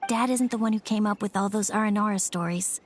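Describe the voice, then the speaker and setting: A woman voicing a gentle young daughter in a video game, soft, hesitant and earnest. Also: medium pitch, quick pace, slight background noise, natural voice.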